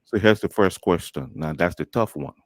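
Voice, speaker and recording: Liberian man hosting a podcast, very deep voice, dry, chatty and easygoing. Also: normal speed, no room sound. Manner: friendly, chatty, laughs easily. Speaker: host. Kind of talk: podcast interview.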